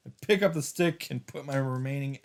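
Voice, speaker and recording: A man's voice: mid-range voice, a little fast. A man hosting a let's play, reading a visual novel's first-person narration aloud with occasional asides of his own. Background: game music.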